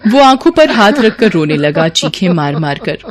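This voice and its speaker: A man's voice: high voice, very animated, normal speed. A man narrating a scripted story as a storyteller.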